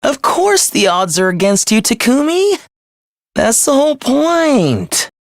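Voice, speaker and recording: A man's voice: very high voice, very animated, takes it slowly, dry recording, clean studio sound, exaggerated voice. A young man playing a smug, mocking villain in a video game, with a condescending, theatrical delivery. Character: taunting, smug young villain living in someone's head. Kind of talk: video game character acting.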